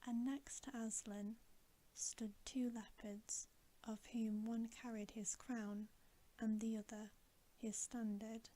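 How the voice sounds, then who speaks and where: A woman reading a storybook in a soft, breathy ASMR whisper, with a gentle, soothing delivery. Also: on the high side, little pitch movement, takes it slowly, low-quality recording. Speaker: ASMR bedtime story reader.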